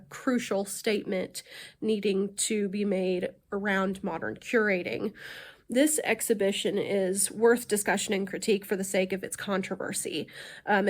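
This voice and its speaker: A young woman with a cold, sounding nasal, reading a class presentation with frequent "um" fillers. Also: mid-range voice. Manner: frequent "um" fillers, measured delivery. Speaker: student presenter.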